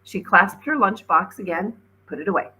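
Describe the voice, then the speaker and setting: A woman's voice: mid-range voice, animated, normal speed, sharp tone, a little hiss or hum, rough recording. A woman, a teacher, reading a children's novel aloud as narrator. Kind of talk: storybook read-aloud narration.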